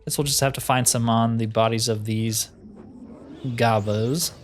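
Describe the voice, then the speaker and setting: A man's voice: medium pitch, steady tone, measured pace. Easygoing man thinking aloud over game music. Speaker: gamer narrating own roleplay playthrough. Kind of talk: casual game commentary.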